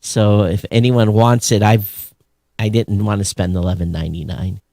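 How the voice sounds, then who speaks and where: A man's voice: lowish voice, little pitch movement, moderate pace, creaky, dark tone, dry recording, studio quality. A middle-aged man co-hosting a podcast, chatting casually about tech news in a blunt, sarcastic way. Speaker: podcast co-host.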